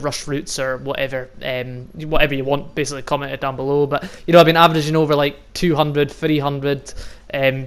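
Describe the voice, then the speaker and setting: A young Scottish man from the Highlands in his twenties, talking casually to his viewers. He rambles, swears freely and laughs often. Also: smooth. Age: 20s. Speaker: vlogger and youth football coach. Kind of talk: casual monologue to camera.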